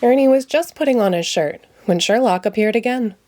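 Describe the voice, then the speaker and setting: A woman's voice: on the high side, animated, brisk, a little mellow, slight background noise. A woman narrating a children's picture book as a read-aloud. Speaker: storybook reader.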